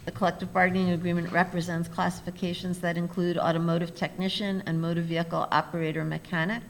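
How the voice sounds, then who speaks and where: A woman with a fairly low voice reads agenda items in a steady, formal way. Faint room ambience sits behind her. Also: fast talker, gravelly, slight background noise. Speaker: university staff presenting agenda items. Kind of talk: reading agenda items aloud.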